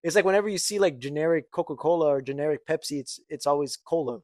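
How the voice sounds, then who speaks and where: A young man co-hosting a podcast, pushing back insistently in a casual argument and laughing along the way. His voice is somewhat high. It is recorded up close on a dry mic. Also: fast, a little mellow, no echo. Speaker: podcast co-host. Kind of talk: casual chat.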